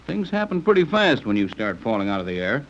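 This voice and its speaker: A modest, seasoned pilot captain in a 1930s radio serial. His talk is plain and practical with lively pitch swings, heard on a dull vintage recording. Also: a little fast, a little hiss or hum. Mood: matter-of-fact. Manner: plain-spoken, folksy.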